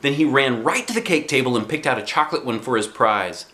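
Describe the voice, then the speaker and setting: Animated American man reading a children's story. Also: mid-range voice, quick pace. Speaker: storybook reader, dad. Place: small indoor room, on a sofa by a window.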